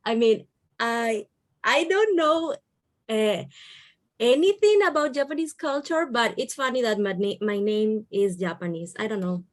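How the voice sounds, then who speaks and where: A woman's voice: a little high, unhurried, no room sound, clean studio sound. An 18-year-old woman from Peru, a native Spanish speaker, introducing herself casually in English on a video call. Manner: casual, laughing, chatty. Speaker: law student.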